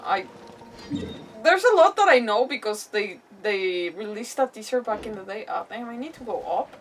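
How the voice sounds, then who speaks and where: A woman's voice: on the high side, lively intonation, moderate pace, distant mic, slight background noise, rough recording. A woman streaming a video game with casual, rambling, emotive commentary. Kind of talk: casual live gameplay commentary. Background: game music.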